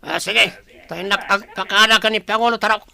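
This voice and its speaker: A man playing an obedient, scheming henchman with a pinched, creaky voice in an Ilocano radio drama. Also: sits high, steady tone, brisk, sharp tone, heavily acted. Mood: plotting.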